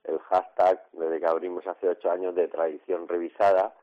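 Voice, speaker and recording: Middle-aged man from La Mancha, chef interviewee; very low, flat delivery; hesitant, restarts often; muffled lo-fi sound. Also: normal speed. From La Mancha, Spain. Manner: hesitant, many fillers and restarts. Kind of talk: casual interview answers.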